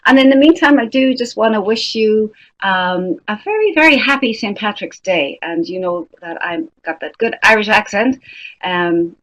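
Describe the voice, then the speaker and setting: A woman moderating a webinar, introducing the speaker in an effusive way with many fillers and restarts. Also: mid-range voice. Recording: dull lo-fi audio.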